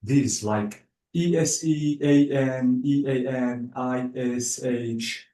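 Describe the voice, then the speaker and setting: A man teaching an English class online, speaking slowly and patiently and praising the student often. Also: medium pitch, fairly even intonation, warm tone. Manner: slow, patient, spells out word endings, praises with "bravo". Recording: echoey, distant room sound.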